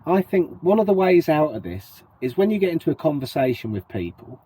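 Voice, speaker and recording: A man's voice: fairly high pitch, sing-song, fairly quick, mellow, breathy edge, low-quality recording. A middle-aged British man with a cold and a sniffly voice, chatting casually to his vlog viewers and putting questions to them. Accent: British English. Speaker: vlogger. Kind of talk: casual to-camera chat.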